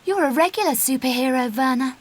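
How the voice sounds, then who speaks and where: An actress playing a self-assured young adventurer, dry and teasing, in a video game cutscene. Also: female voice, high voice, a little fast, natural voice. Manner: dry, sarcastic. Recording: long cavernous reverb.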